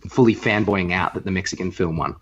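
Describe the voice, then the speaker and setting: Man with a low voice, rambling casually. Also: fast, highs cut off, a little hiss or hum. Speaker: podcast co-host. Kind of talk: casual chat.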